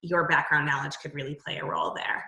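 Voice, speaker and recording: A woman's voice: low voice, big pitch swings, quick pace, bright, forceful tone, far from the mic. A woman co-hosting an online teaching course, chatting casually with frequent "um"s and easy laughter. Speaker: course co-host, literacy educator. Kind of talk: casual instructional discussion. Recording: roomy echo, off-mic.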